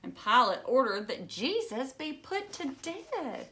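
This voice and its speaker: A woman's voice: sits high, very animated, unhurried, fairly bright tone. A woman reading a children's book aloud like a teacher, explaining things simply and adding chatty asides addressed to young listeners. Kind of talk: read-aloud storybook narration with chatty asides.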